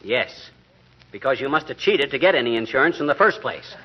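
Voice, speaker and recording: A man's voice: medium pitch, lively intonation, fairly quick, slightly rough, dull audio. A man playing a wisecracking, blustery middle-aged husband in a folksy, teasing vintage radio comedy style. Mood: needling. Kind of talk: 1940s radio comedy acting. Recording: band-limited vintage radio broadcast, faint noise.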